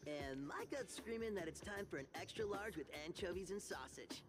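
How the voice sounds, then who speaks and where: A man's voice: sits high, expressive, moderate pace. An actor voicing a goofy, laid-back young male mutant turtle who jokes in surfer slang. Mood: playful. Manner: surfer slang, playful, jokey. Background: game music.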